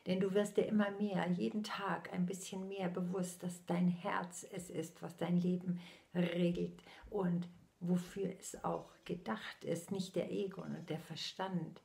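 A woman in her sixties speaks as a medium giving a channeled message, her low, gravelly voice moving at a very unhurried pace. She keeps her eyes closed and lets many phrases fall into a soft whisper. It sounds close and intimate, as if spoken into a laptop in a small room at home. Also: level tone. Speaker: spiritual medium.

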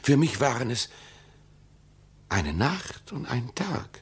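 Radio play: estranged husband, evasive dreamer, very raspy and gravelly, measured formal delivery. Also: male voice, mid-range voice, big pitch swings, full character voice. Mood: stubborn, defensive. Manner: measured, deliberate, formal phrasing. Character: estranged husband, evasive, dreamily insistent about an underground palace. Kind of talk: radio drama acting.

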